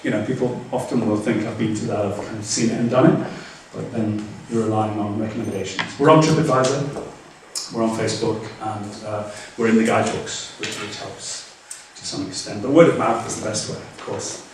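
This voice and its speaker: A man's voice: on the low side, fairly even intonation, moderate pace. A male guest speaker fields questions from the audience, stopping and restarting his sentences often. He is picked up from a distance in a very echoey lecture room. A faint background hum runs under his voice. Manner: hesitant, many restarts and fillers. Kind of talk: Q&A after a lecture.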